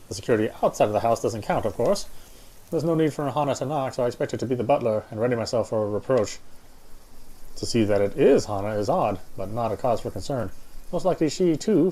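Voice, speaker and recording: A man's voice: medium pitch, dark tone. A man reading first-person visual novel narration aloud.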